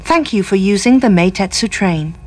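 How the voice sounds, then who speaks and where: A woman gives a recorded train announcement at a slow pace. Her voice sits at a middle pitch, and every place name is measured and carefully enunciated. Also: animated, darkish tone. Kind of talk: recorded public announcement, scripted.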